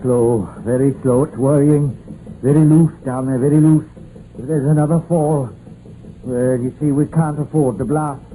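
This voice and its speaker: An actor playing a worried, cautious mine superintendent with a hesitant manner, in an old radio drama. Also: male voice, medium pitch, steady tone, moderate pace, mellow, lightly acted. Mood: cautious, grave. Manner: hesitant, repeats phrases. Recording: muffled old radio broadcast, hissy.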